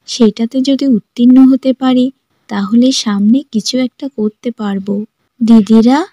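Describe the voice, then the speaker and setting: A woman voicing a gentle, earnest young daughter-in-law in a family drama cartoon. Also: fairly high pitch, dry recording. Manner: polite, sincere.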